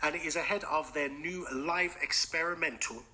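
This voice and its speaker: A male radio host with a slightly high, very bright voice leads a casual studio interview. He stays laid-back and keeps his guest going with short affirmations. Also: moderate pace.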